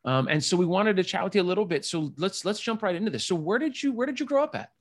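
A man's voice: high voice, lively intonation, quick pace. A man hosting a podcast, chatting casually and upbeat with his guest, full of asides. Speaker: podcast host. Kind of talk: casual interview chat.

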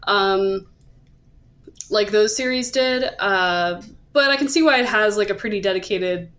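A woman's voice: mid-range voice, measured pace, a bit bright. A woman hosting a podcast, talking casually about comics in a hesitant, self-qualifying way. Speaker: podcast host. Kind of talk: casual discussion of manga.

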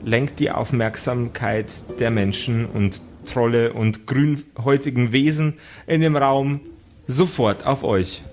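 A man improvising narration as game master of a tabletop role-playing game, halting now and then to search for words. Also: mid-range voice, fairly even intonation, soft background music.

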